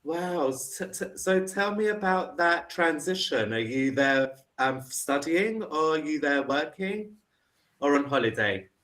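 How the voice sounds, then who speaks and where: A man's voice: fairly high pitch, animated, unhurried, fairly bright tone. A man with a London accent, an online English tutor chatting on a video call and asking encouraging questions. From England. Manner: friendly, encouraging, asks many questions. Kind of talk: casual video call chat. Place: home in the UK.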